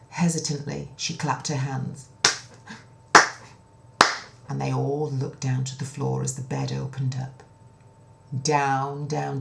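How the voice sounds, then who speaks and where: A woman's voice: a bass voice, gravelly, echoey room. A woman telling a children's story in a dramatic, theatrical way, repeating words for suspense.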